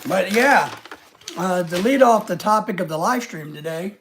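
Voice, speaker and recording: A man's voice: high voice, animated, moderate pace, fairly bright tone, a little hiss or hum. A man hosting a casual live stream with a head cold, rambling and reading out viewer comments.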